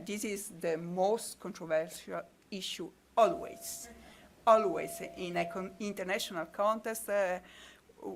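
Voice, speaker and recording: A woman giving a conference presentation in a halting, hesitant way, often repeating words. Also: fairly low pitch, vocal fry. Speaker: panel speaker.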